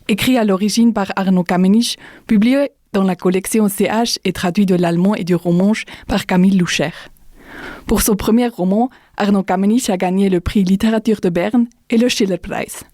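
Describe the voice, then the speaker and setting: A young woman student hosting a podcast discussion, speaking slowly and carefully. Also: mid-range voice, dark tone, no echo, studio quality. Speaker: host and student.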